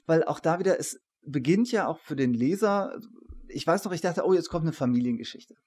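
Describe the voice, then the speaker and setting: Higher-pitched man hosting an interview. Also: expressive, brisk, a little mellow. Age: 40s. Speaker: interview host, professor. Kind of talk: conversational interviewing. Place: attic room, indoors.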